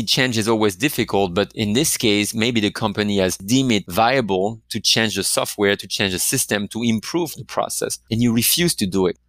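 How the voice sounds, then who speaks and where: A man's voice: lowish voice, fairly bright tone, dry recording. A man giving a reflective coaching talk, asking the listener many questions. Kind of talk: motivational talk to camera.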